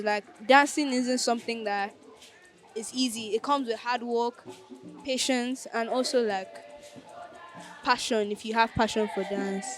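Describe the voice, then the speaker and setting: A young woman with a Nigerian accent speaking informally as a student dancer in an interview, often dropping in "like". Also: fairly high pitch. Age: teenager or young adult. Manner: conversational, frequent "like". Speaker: student dancer in a school play. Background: music.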